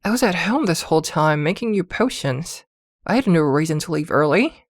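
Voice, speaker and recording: A woman playing a possessive, protective witch girlfriend in an intimate ASMR roleplay, doting yet jealous, with hesitant pauses. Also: on the low side, expressive, no room sound, studio quality. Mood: concerned, questioning.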